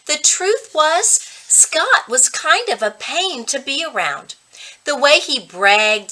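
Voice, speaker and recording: A woman reads a children's book aloud in a bright, rather high voice. Her intonation swings widely, and she pauses for drama, leaning on key words as she narrates. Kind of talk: expressive storybook read-aloud narration.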